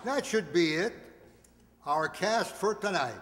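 A man in his seventies reading out cast credits on a stage in a deliberate, theatrical announcer's manner. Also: high voice, expressive, a bit bright. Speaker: announcer of a radio players group. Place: stage of a community hall. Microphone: stand microphone on stage, recorded by audience camera. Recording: echoey hall, audience noise, hiss.

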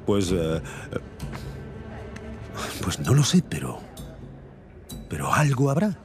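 Lively low-voiced adventurer man. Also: takes it slowly, music in the background, exaggerated voice. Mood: improvising. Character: confident adventurer man, quick-thinking, casual, determined thief. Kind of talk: game cinematic acting, Spanish dub.

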